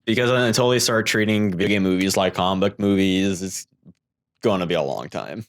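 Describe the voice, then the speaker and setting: A man's voice: deep voice, a little fast. A man co-hosting a podcast, chatting casually about films and tossing in wisecracks. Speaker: podcast co-host. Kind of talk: casual film-discussion chat.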